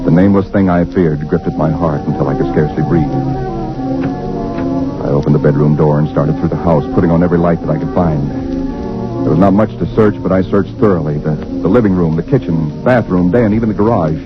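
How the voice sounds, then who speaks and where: An actor playing a frightened young husband, narrating in 1940s radio drama style with nervous stammers. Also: male voice, brisk, close to an everyday voice. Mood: dread. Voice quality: deep, tense voice with nervous stammers. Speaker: narrator and lead character. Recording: dull old radio broadcast with loud music.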